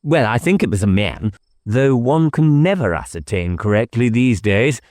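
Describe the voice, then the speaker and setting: A man's voice: mid-range voice, lively intonation, normal speed, a little mellow, no echo, clean studio sound, close to an everyday voice. A man voicing a whimsical, evasive village clergyman with a coy, wordy and mannered way of talking, in an audiobook.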